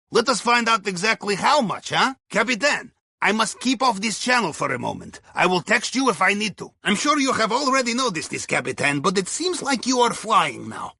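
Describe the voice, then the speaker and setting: An actor playing a wry, courteous Russian submarine pilot, advising on missions in a video game with a Russian accent and clipped grammar. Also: male voice, high voice, vocal fry, pushed and bright, husky, dry recording, clean studio sound, full character voice. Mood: wry, businesslike. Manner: wry, deferential, clipped grammar with dropped articles. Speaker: mission handler on comms. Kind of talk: scripted video game dialogue.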